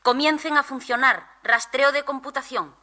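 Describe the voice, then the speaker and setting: A woman's voice: high voice, normal speed, bright, forceful tone. A woman playing an alert control room operator in a radio drama, announcing things formally. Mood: urgent, formal.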